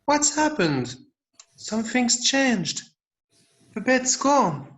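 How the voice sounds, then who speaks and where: A man's voice: very high-pitched, big pitch swings, slow pace, fairly bright tone, heavily acted. A man playing an earnest, affectionate young boy in an amateur script read-through. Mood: puzzled.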